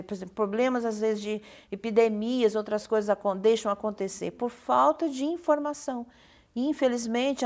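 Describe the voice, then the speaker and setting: A woman with a Brazilian Portuguese accent answering as an academic guest in an interview, explaining in halting phrases with repeated words and checking tags. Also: a little high. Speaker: university professor and communication researcher, interview guest.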